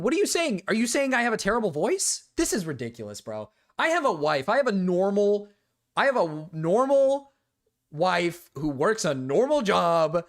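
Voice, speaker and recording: A young man streaming casually, excitable and swearing, swinging between loud indignant outbursts and low mumbled asides. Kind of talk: casual reaction stream chat.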